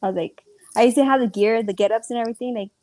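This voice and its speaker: Giggly woman with a bright, dry voice. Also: a little high, racing, dry recording. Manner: giggly, swears casually. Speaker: stream co-host. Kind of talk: casual joking chat.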